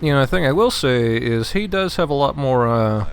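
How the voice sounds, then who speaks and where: A man's voice: medium pitch, animated, normal speed. Man chatting as co-commentator, faint noise. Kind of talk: casual conversational game commentary.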